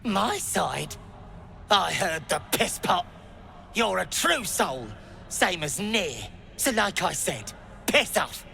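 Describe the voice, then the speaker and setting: Surly, high, sharp-voiced slave. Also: male voice, big pitch swings, slow, vocal fry, slight background noise, exaggerated voice. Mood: hostile. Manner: irritable, blunt, suspicious. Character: surly, foul-mouthed, downtrodden male slave in fantasy game.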